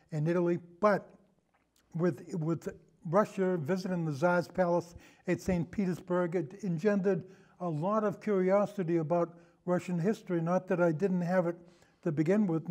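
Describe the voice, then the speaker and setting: Higher-pitched older man, rambling. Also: darkish tone. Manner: rambling, digressive, hesitant. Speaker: guest, amateur historian and old time radio podcaster. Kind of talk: casual chat, reminiscing.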